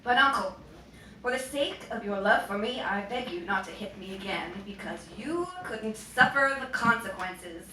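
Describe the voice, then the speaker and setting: A woman's voice: mid-range voice, normal speed, pushed and bright, lightly acted. A woman voicing a proud, defiant fifteen-year-old noble boy in a story reading, emphatic and protesting. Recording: roomy, distant, noisy bookstore recording.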